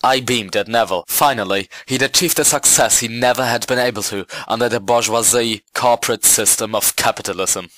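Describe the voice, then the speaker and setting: A man reading as a self-satisfied revolutionary narrator in a scripted story, measured and earnest. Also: mid-range voice, a bit bright, no echo, natural voice. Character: smug first-person schoolboy narrator, a self-satisfied revolutionary.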